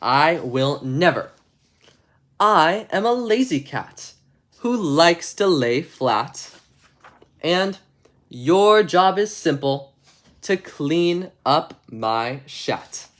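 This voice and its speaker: A man's voice: fairly high pitch, animated, drawn-out words, fairly bright tone, exaggerated voice. Man putting on a lazy, bossy storybook cat. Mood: lazy, defiant.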